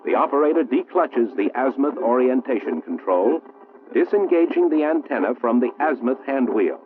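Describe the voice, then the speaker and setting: A man's voice: mid-range voice, lively intonation. American man narrating, tinny old film soundtrack. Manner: formal, measured, precise enunciation. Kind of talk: 1960s military instructional film narration. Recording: muffled, roomy 1960s film soundtrack, phone-like.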